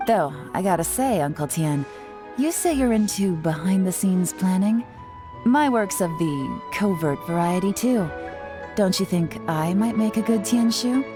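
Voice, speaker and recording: A woman's voice: medium pitch, animated, a little slow, natural voice. An actress playing a sly, confident female covert agent in a fantasy game, wry and teasing. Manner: wry, teasing, self-assured. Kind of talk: fantasy video game voice acting. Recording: game music behind the voice. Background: background music.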